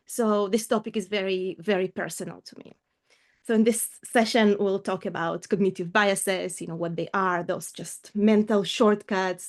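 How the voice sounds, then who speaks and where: Earnest middle-aged woman on video call. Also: medium pitch. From Ukraine, raised in Israel. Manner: warm, earnest, thoughtful with fillers. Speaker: coach and guest. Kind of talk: casual interview over video call.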